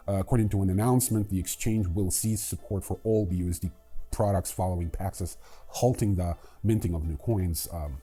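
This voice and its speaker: Low-voiced, husky man delivering sardonic news. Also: dark tone. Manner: sardonic, irreverent, swearing. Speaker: host of a crypto news show. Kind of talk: news commentary with casual asides.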